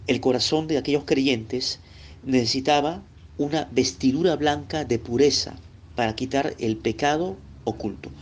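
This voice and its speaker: A Peruvian man from Lima, with a Lima accent and a voice of ordinary middle pitch, gives a recorded Bible lesson. He teaches in a chatty way, speaking straight to the listener and checking in with little tag questions. A faint hiss of noise sits under his voice. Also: darkish tone. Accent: Peruvian Spanish, Lima. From Peru.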